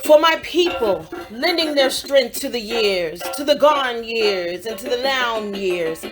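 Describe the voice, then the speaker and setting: A woman recites poetry solemnly and very slowly, pausing between short phrases. Her voice sits a little high and carries a dramatic, measured delivery. African drums play clearly behind her. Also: animated. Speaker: poetry reader. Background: African drum music.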